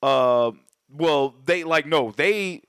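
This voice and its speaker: A man's voice: fairly high pitch, drawn-out words, a bit bright, clean tone, dry recording, studio quality. A young man co-hosting a podcast, rambling in casual chat with many restarts. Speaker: podcast co-host.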